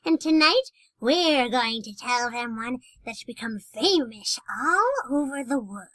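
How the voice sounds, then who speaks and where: Gleeful, high-swooping ancient witch. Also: animated, a bit bright, dry recording. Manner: slow, theatrical, cackling. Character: cackling 111-year-old witch, gleeful storyteller of spooky tales. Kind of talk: old-time radio horror acting.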